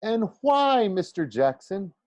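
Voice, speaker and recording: A man's voice: high voice, very animated, slow, a little mellow, dry recording, muffled recording, voice pushed up, exaggerated voice. A man reading a storybook as a dignified male teacher, formal, questioning and patiently stern. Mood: pointed.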